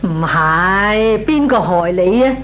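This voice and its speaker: Coaxing housewife, noisy radio recording. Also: female voice, on the low side, lively intonation, slow pace, fairly bright tone. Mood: insistent. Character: nosy neighbourhood married woman, coaxing and teasing. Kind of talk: Cantonese comic radio drama acting.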